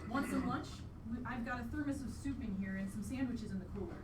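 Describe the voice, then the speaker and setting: A woman's voice: a little high, fast, noisy background, close to an everyday voice. A woman playing an earnest, determined mother in a live radio play. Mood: earnest, grave. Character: earnest, determined mother on a bog outing with child. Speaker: stage actor. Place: live theatre performance in a venue. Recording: roomy distant live stage recording, loud music.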